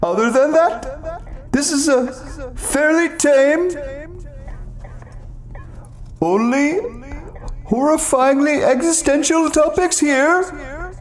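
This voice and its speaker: A man's voice: very high voice, slow pace, pitched above the performer's own voice. A man voicing a theatrical, mock-menacing horror-show announcer who scolds and draws out words melodramatically. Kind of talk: theatrical horror story introduction.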